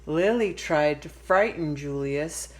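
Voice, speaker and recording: A woman reading a children's picture book aloud in a deliberate way, pausing for emphasis. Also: sits low. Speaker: storybook reader.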